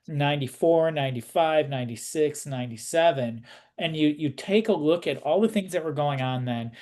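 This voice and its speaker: Male interviewer, fairly high pitch, casual, conversational, rambling thoughtful questions. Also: moderate pace, darkish tone.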